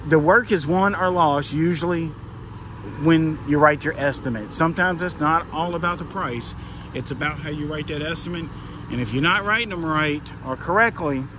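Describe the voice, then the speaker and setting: A man's voice: on the high side, a bit bright. Plainspoken middle-aged man outdoors. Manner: plainspoken, folksy, instructive. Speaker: pressure washing business owner and trainer. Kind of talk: casual on-site how-to vlog. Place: outdoors at a work site. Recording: faint outdoor background noise.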